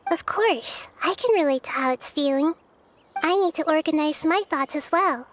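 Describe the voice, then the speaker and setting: A woman voicing a wise, gentle young girl deity in a video game, speaking in an earnest, measured, articulate way. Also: high-pitched, a little hiss or hum, natural voice. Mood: reassuring. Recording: game music behind.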